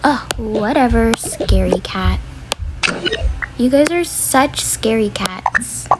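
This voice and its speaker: Annoyed, scornful big sibling in a family skit, voiced by a woman, scolding delivery, clearly noisy audio. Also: fairly high pitch, animated, takes it slowly.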